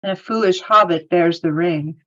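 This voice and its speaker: A woman's voice: lowish voice, moderate pace, a bit bright, natural voice. A woman playing a solemn, troubled young male hobbit in a fantasy table read, slightly muffled. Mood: uneasy.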